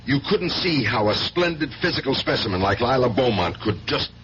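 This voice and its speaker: A driven, distrustful sergeant in a 1970s radio drama. His voice swings widely in pitch as he argues insistently and with sarcasm. The recording is muffled, with a light hum. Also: big pitch swings, normal speed, fairly bright tone. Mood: pressing, accusing. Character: driven, distrustful police sergeant confined as a mental patient.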